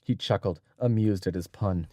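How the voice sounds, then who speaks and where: A man reading scripted adventure fiction as an audiobook narrator, in a dramatic, measured storytelling manner. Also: low-pitched, a little mellow, no room sound, clean studio sound.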